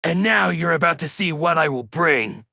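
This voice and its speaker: A man voicing a scornful, hulking chaos villain with a harsh, very gravelly voice for a fighting game. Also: a little high, animated, moderate pace, sharp tone, dry recording, studio quality, exaggerated voice. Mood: scornful menace.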